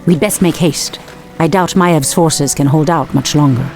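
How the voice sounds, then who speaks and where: A woman's voice: deep voice, measured pace, a little mellow, faint music behind. A woman playing a proud, determined elf priestess and war leader in a fantasy game, speaking firmly and with formal dignity. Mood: calm, decisive. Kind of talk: dramatic fantasy game voice acting.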